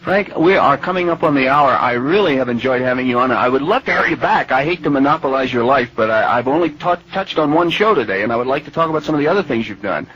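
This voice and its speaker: A man's voice: medium pitch, expressive, quick pace, few pauses, a bit bright, breathy edge. A man hosting a live call-in radio interview in a conversational style. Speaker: radio talk show host. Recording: dull, noisy old radio broadcast tape.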